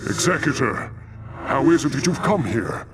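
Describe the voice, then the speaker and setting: An actor playing a proud, earnest alien templar commander in a sci-fi video game, formal and solemn. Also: male voice, mid-range voice, sing-song, normal speed, natural voice. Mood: indignant.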